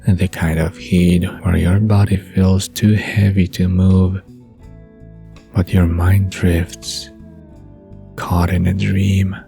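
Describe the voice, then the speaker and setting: Deep dark-voiced guy reading a bedtime story, soft and dreamy, barely varies his pitch. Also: flat delivery, takes it slowly, vocal fry, soft background music. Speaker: narrator. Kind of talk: scripted bedtime story narration.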